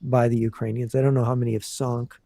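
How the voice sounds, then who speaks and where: Gravelly man explaining at length. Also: medium pitch, level tone, dark tone, no room sound. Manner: measured, explanatory, many fillers and restarts. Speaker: guest analyst. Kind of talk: casual podcast interview.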